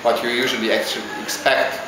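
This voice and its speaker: Guy, halting interview answers, super echoey spot, steady background hum. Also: medium pitch. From Hungary. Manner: halting, searches for words. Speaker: interviewee, visiting traveler. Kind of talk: casual spoken interview answers. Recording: very echoey with clear background ambience.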